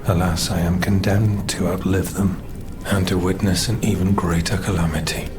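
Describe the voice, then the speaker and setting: A man playing a grief-stricken, honourable French general in a video game, speaking gravely, wearily and formally. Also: low voice, fairly even intonation, moderate pace, lightly acted. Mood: sorrowful, bitter. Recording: slightly distant, loud music behind.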